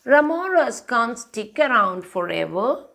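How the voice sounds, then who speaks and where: A woman voicing a gentle, comforting mother shark in a children's storybook. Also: fairly high pitch, sing-song, a little slow, gravelly, heavily acted. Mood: gentle, sympathetic.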